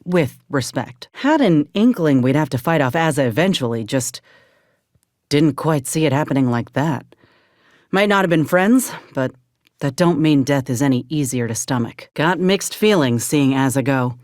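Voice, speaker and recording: An actress playing a gruff, loyal sci-fi soldier and medic in a video game, speaking in a folksy, plainspoken, measured way. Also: female voice, low voice, animated, a little mellow, dry recording, studio quality. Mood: somber, regretful. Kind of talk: video game companion dialogue.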